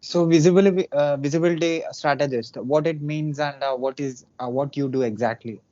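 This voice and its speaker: Man asking short halting questions. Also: a little high, dark tone, dry recording, lo-fi sound. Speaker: podcast host. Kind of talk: interview questions.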